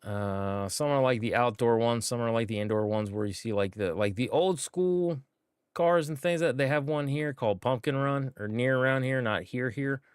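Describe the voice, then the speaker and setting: A man's voice: mid-range voice, moderate pace, clear, resonant tone, no room sound, studio quality. Rambling man streaming a game. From United States. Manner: laid-back and rambling, with many fillers. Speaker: gaming streamer. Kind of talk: casual gameplay commentary.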